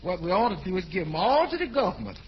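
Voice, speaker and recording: A woman's voice: medium pitch, big pitch swings, quick pace. A woman playing a wry, folksy housekeeper with ungrammatical comic speech in a 1940s radio comedy. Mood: drily weary. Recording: dull, noisy old-time radio recording.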